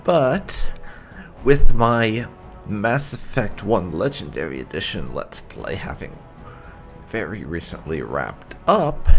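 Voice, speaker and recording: Male gaming host, gravelly and unhurried, casual intro commentary with faint music behind. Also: lowish voice.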